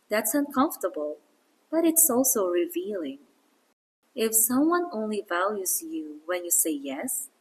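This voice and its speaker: A woman narrating a scripted read-aloud English lesson in a deliberate, encouraging, instructional way. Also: mid-range voice, husky, poor audio. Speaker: English reading teacher and narrator.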